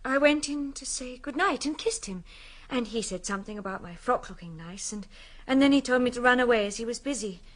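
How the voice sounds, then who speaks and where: A woman's voice: fairly high pitch, lively intonation, a little fast. An actress playing an anxious, earnest, well-bred young woman in a radio drama. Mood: bewildered, anxious.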